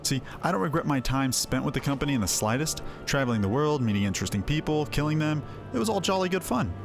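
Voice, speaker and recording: An actor playing a roguish former mercenary in a video game, jovial yet menacing, with wry and theatrical delivery. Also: male voice, on the high side, animated, fast talker, music in the background. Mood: wry, threatening.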